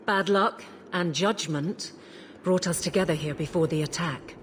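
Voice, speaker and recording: An actress playing a firm, practical woman soldier and loyal wife in a fantasy video game, brisk and steady. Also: fairly low pitch, a little hiss or hum, close to an everyday voice. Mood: resolute.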